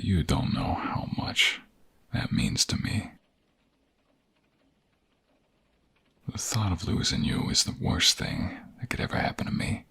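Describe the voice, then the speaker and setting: A man playing a tender, protective young mobster in an intimate ASMR romance roleplay, speaking softly and haltingly in a husky, gravelly, breathy voice. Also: low-pitched, full character voice. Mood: tender, earnest. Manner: soft, halting, gentle, intimate.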